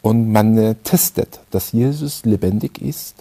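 A middle-aged man with an Iranian accent gives a personal testimony in halting German, full of pauses and fillers. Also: lowish voice, gravelly, dark tone, dry recording. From Iran. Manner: halting, many fillers and restarts. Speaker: interviewee.

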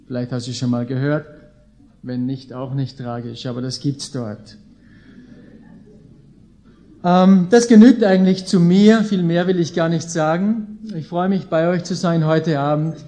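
A man's voice: on the high side, steady tone, unhurried, mellow. A man in his late thirties with an Austrian accent, preaching to a live audience in an informal, folksy way with emphasis on key phrases. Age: late 30s. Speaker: visiting preacher, Bible school leader. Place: indoor gathering with an audience.

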